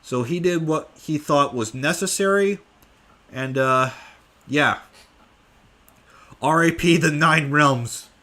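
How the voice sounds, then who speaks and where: A man's voice: fairly high pitch, slow, long pauses, bright, forceful tone. A young man casually answering fan questions at home, rambling with lots of fillers. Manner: rambling with many fillers, offhand. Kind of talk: casual live Q&A.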